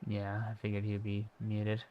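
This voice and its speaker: A man's voice: lowish voice, monotone, normal speed, lo-fi sound, slight background noise. A man livestreaming a game, muttering casual reactions and short interjections. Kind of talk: casual reactions while gaming.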